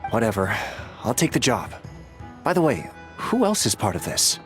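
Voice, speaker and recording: A man's voice: mid-range voice, wildly swooping pitch, normal speed. A young game hero, modest and dryly reluctant yet dutiful. His mid-range voice moves around expressively, over faint music. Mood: reluctant, resolved. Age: young adult. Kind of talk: video game voice acting.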